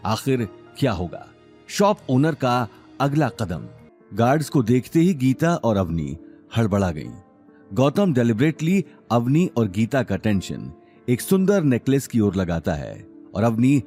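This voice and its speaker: A man of medium pitch narrates an audio drama with very lively intonation. His scripted delivery is dramatic and suspenseful, with soft music underneath.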